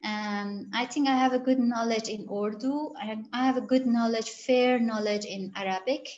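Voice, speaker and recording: Halting woman on a dull call. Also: on the high side, fairly even intonation, a little mellow. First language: Gilaki. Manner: halting, searching for words, many restarts. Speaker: interviewed researcher. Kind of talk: interview answers.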